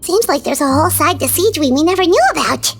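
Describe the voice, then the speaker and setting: A woman voicing a tiny female fairy companion in a video game, childlike, cheeky and blunt, with a squeaky, expressive delivery. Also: fast, vocal fry. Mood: curious, cheeky. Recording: background music behind the voice.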